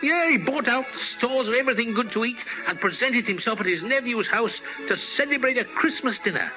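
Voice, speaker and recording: A man narrating as a storyteller in a theatrical 1950s radio style, with deliberate dramatic phrasing. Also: very high-pitched, expressive. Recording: muffled old radio recording, music behind.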